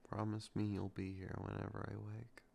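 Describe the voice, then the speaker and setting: A man performing a romantic ASMR roleplay to the listener, soft, intimate and teasing with a possessive edge. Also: completely flat delivery, moderate pace. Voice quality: low gravelly voice with heavy vocal fry.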